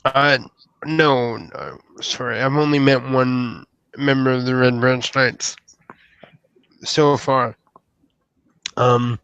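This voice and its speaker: Tabletop game role, man acting young would-be knight, hesitant and courteous, unhurried stammering delivery, dry sound. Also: mid-range voice, steady tone, vocal fry, fairly bright tone, clean tone, dry recording, highs cut off. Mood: flustered. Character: earnest, bashful young male would-be knight named Sam.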